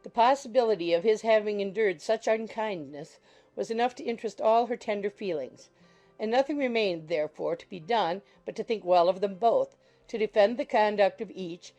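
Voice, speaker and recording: A woman's voice: mid-range voice, mellow. A woman reading scripted literary narration for an audiobook.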